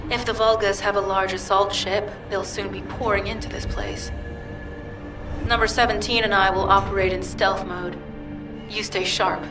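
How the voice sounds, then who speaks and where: A woman's voice: mid-range voice, steady tone, moderate pace, close to an everyday voice. A woman playing a practical, terse soldier on a mission in a video game, businesslike in manner. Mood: alert, urgent. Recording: echoey, distant, music behind.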